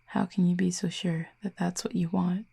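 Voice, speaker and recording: Woman acting a soft, husky, low-voiced Cupid. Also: little pitch movement, exaggerated voice. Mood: incredulous. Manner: measured, gentle, slightly formal phrasing. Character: wry, tender, guarded female Cupid talking to a vampire. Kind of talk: intimate audio roleplay acting.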